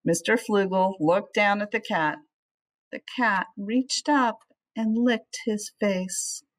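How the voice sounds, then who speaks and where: A middle-aged woman reading a children's storybook aloud expressively, with measured, deliberate phrasing, as a teacher would. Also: mid-range voice.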